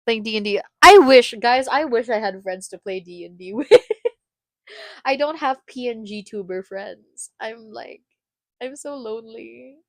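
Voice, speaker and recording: A woman's voice: on the high side, a little slow, no echo. Rambling, laugh-prone woman streamer, Filipino. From Philippines. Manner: rambling, self-interrupting, laughs often. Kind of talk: casual live-stream chat.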